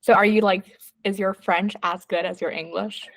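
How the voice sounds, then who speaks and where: A woman's voice: mid-range voice, steady tone, moderate pace, no echo. A woman hosting a podcast, asking questions in a casual, chatty way with lots of fillers. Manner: chatty, eager, many fillers like "like" and "um". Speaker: podcast host. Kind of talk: casual interview chat.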